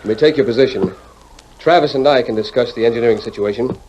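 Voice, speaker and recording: An actor playing a commanding, determined army colonel of the Old West in a 1940s radio drama, firm and authoritative. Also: male voice, mid-range voice, fast talker, darkish tone, close to an everyday voice. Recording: dull, hissy 1940s radio recording.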